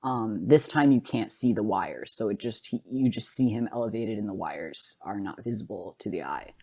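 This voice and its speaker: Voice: medium pitch, lively intonation, normal speed, warm tone, husky. A podcast host talking casually and conversationally through an analysis, rambling a little as they go. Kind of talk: casual video analysis talk.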